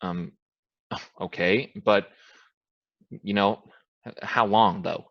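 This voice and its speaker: A man's voice: fairly low pitch, steady tone, slow, creaky, muffled recording, exaggerated voice. A man acting an eager, casual newcomer in a staged play reading, persuasive and often stammering. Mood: hesitant, curious. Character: eager, casual, wheedling newcomer with expensive booze.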